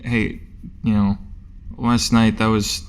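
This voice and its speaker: Man as young male adventurer, low-pitched, guarded, awkward, halting casual speech, tabletop game. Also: flat delivery, smooth.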